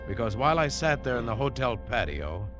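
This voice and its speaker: A man's voice: medium pitch, big pitch swings, a little fast, lightly acted. An actor playing a tough, wary adventurer who tells his story in clipped, world-weary 1940s radio drama style. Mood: hard-boiled, brooding. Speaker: lead actor and first-person narrator. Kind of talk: 1940s radio adventure drama acting and hard-boiled narration. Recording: 1940s radio recording, music underneath.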